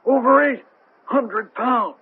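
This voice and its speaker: An actor playing an excitable working man in a 1970s radio drama, incredulous and colloquial. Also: very high-pitched, slow pace, slightly rough, heavily acted. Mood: amazed. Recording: tinny radio broadcast with background noise.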